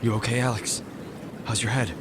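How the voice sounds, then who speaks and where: A man's voice: mid-range voice, little pitch movement, normal speed, heavy background noise, close to an everyday voice. An actor playing a blunt, foul-mouthed, defiant male captive in an audio drama, emotional in delivery. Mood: weary concern.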